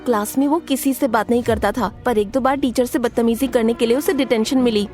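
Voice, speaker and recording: Woman voicing a high-pitched, feisty teenage schoolgirl. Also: a little fast, soft background music, natural voice. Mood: narrating, confiding. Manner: expressive, dramatic. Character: guarded, feisty teenage schoolgirl narrating her own story. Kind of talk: animated story narration with acted dialogue.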